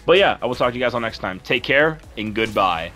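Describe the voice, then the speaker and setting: A young man with a deep voice talking over gameplay in a rambling, earnest, apologetic way as a content creator. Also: lively intonation, quick pace, bright, forceful tone, soft background music. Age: young adult. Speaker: YouTuber and streamer.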